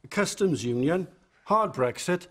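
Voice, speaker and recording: A man's voice: on the high side, animated, measured pace, fairly bright tone. A man in a debate audience putting a rhetorical political question. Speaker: audience member.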